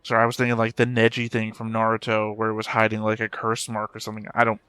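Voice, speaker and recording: A man's voice: fairly low pitch, completely flat delivery, fast talker, sharp tone, clean tone, no room sound. A man giving casual, blunt reaction commentary as the host of a reaction video.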